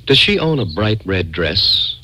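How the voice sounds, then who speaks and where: An actor playing a haunted, guilt-ridden man who narrates a radio suspense tale in a brooding, deliberate, formal way. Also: fairly low pitch, sing-song, background noise. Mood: suspicious. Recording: old radio recording with music.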